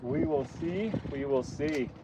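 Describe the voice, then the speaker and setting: A man with a hoarse, fading voice, chatting easily with livestream viewers while he fishes from a boat on a rainy lake. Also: a little high, slow. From Canada. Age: adult, father of young kids. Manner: easygoing, chatty, greets viewers by name. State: hoarse, losing his voice. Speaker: outdoors channel host. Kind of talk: casual livestream chat while fishing. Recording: windy outdoor stream with boat noise. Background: wind and boat motor.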